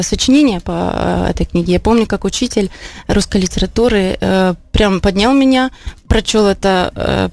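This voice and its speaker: A female radio guest with a medium-pitched voice. She speaks conversationally and reflectively, often pausing, restarting and padding her sentences with small filler phrases. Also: darkish tone, dry recording. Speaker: interview guest, singer-songwriter. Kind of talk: conversational interview. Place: radio studio.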